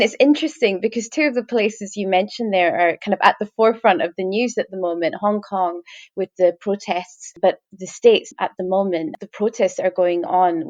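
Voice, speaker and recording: A woman hosts a podcast conversation at a middle pitch, chatty and relaxed. She hedges often and restarts her sentences. The sound is close and dry, with no room around it. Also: no room sound, muffled recording. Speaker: podcast host. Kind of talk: conversational interview.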